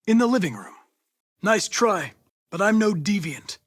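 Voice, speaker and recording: A man's voice: sits high, very animated, unhurried, husky, exaggerated voice. A man playing a polite, precise young male android detective in a dramatic video game. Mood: calm, formal. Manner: precise, formal, clipped. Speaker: police investigator android.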